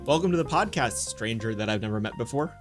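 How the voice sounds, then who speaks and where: A man's voice: medium pitch, expressive, fast talker, music in the background. A man hosting a podcast in casual talk, teasing his co-host with jokes. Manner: joking, teasing banter.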